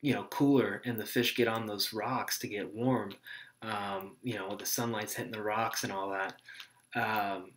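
A young man, a fishing guide, explaining gear to a webcam at his desk in a small room, in a relaxed, rambling way with many fillers. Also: fairly low pitch, measured pace. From Texas. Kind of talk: casual tutorial to camera.

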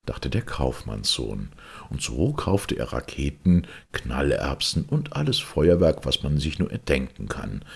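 A male fairy-tale narrator with a very low, gravelly voice. He reads expressively, with wide, animated intonation. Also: a little slow, few pauses. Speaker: storyteller, audiobook reader.

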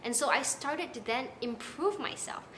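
A young woman from Malaysia with a Malaysian accent, giving casual, animated advice to the camera from her living room. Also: sits high, moderate pace, a little hiss or hum, rough recording. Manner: chatty and animated, gesturing with her hands. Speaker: content creator. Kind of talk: casual talking-head vlog advice. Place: small apartment living room, indoors.

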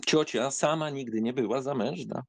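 Audiobook drama: carefree, ironic young count played by a man, theatrical and mocking, gravelly edges, very dry close recording. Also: medium pitch, normal speed, no room sound. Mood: teasing, ironic.

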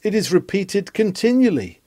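A man's voice: high voice, very animated, moderate pace, mellow, no echo, clean studio sound. A man reads a scripted sermon aloud in an earnest, emphatic, rhetorical manner. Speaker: sermon reader.

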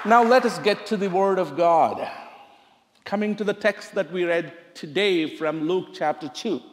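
A middle-aged man with an Indian accent, a minister addressing his congregation in a conversational, halting, earnest way. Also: high voice, a faint crowd. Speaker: church minister. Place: large church sanctuary at a pulpit. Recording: reverberant church hall.

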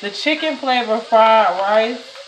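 A woman's voice: fairly high pitch, slow, dull audio. A woman in her thirties chatting casually to viewers while she cooks in her home kitchen, recorded by a camera on the counter. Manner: chatty, talks to viewers as "y'all", scolds kids. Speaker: vlogger cooking at home. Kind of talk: casual cooking vlog chat. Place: small home kitchen at night. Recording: echoey kitchen with faint noise.

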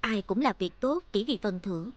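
A woman's voice: medium pitch, animated, normal speed, dry recording, dull audio, lightly acted. An actress playing a thoughtful, concerned superhero mother in a cartoon, reasoning things through. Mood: pensive. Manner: reflective, reasoning.

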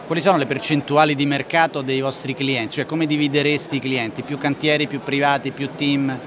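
A man's voice: mid-range voice, little pitch movement, brisk, crowd noise. A man, interviewing in a chatty, informal way. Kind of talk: conversational interviewing. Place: open trade-show stand. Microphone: shared handheld microphone. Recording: faint trade-fair background noise. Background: faint ambient noise.

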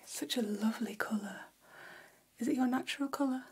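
A woman playing a gossipy, attentive female vampire hairstylist in a gentle, unhurried, breathy whisper for an ASMR roleplay. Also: a little high. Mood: soft, attentive. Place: small decorated room, indoors.

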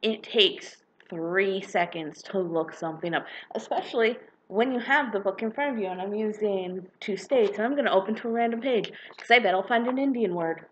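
A young woman, slightly hoarse from a cold, giving her frank, opinionated take in a casual vlog from her living room, recorded on a webcam. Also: medium pitch, moderate pace, hardly any pauses, highs cut off, a little hiss or hum. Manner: chatty, opinionated, frank with mild swearing. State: slightly hoarse, getting sick. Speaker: book vlogger. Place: living room with a couch, indoors.

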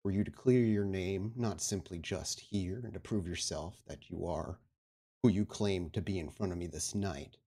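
A man playing a stern, calculating vampire prince in a tabletop game, speaking formally and deliberately. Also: low voice, darkish tone. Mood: persuasive, grave. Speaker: storyteller playing a character.

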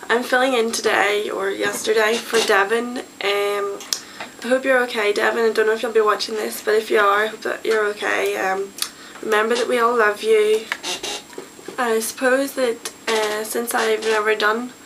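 A young woman of eighteen with a Northern Irish accent talks casually and self-deprecatingly into a webcam in her small bedroom. Her voice has a gravelly fry to it. The built-in mic picks up clear hiss and background noise. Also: fairly high pitch, steady tone, normal speed. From Northern Ireland. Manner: chatty, self-deprecating, many ums. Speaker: vlogger. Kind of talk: casual vlog chat. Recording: noisy home webcam recording.

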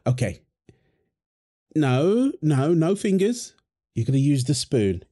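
A man's voice: on the high side, big pitch swings, takes it slowly, dark tone, exaggerated voice. A man playing a playful doting dad. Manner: playful, affectionate, laughs often. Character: doting young dad feeding his baby daughter. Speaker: voice actor in audio roleplay. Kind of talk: wholesome audio roleplay.

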